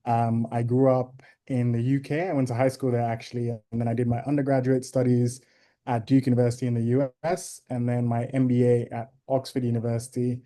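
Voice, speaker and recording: Calm, low-key man on a video call. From Ghana. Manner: measured, thoughtful, with frequent ums. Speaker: interview guest.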